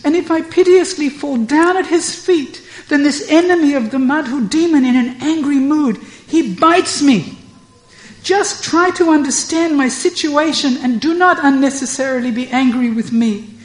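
A woman voicing a distressed young cowherd girl who pleads in a raised, quick voice, in a storytelling reading. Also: a little mellow. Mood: agitated. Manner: high, fast, pleading complaints. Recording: echoey room.

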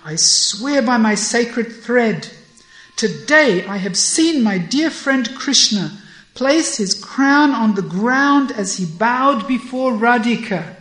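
Woman acting a blustering, high-pitched young man. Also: lively intonation, takes it slowly, fairly bright tone, echoey room, rough recording. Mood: insistent. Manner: blustering, insulting accusations. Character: hot-tempered, loyal young male friend.

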